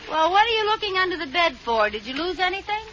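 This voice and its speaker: Teasing wife, high bright voice, lively, dull old radio sound. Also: female voice, quick pace, slight background noise, exaggerated voice. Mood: playful. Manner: playful, teasing. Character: scheming, playful young wife. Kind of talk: 1940s radio comedy acting.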